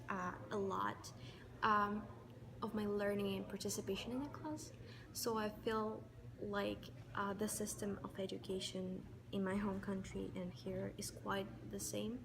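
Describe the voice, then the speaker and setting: A woman from Kazakhstan answers interview questions in a measured way, pausing on fillers. Her voice is mid-pitched. Clear background noise runs under her speech. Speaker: university student.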